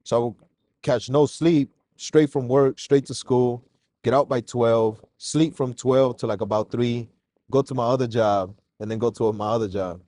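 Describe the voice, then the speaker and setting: A man from Mexico, a guest on a casual podcast interview, telling his story in a relaxed, slangy way. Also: medium pitch, moderate pace. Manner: relaxed, slangy, calls host "bro".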